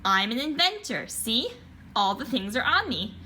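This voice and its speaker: Woman voicing an eager, high-pitched little girl inventor. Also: normal speed, a little hiss or hum. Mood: excited. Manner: excited, insistent. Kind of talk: children's picture book read-aloud.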